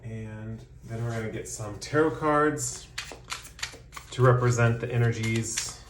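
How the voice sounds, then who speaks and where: A man giving a casual tarot reading, talking in a relaxed, rambling way with many fillers while he is losing his voice. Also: medium pitch, reverberant, background noise. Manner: relaxed, rambling, frequent ums, slows to announce cards. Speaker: tarot reader.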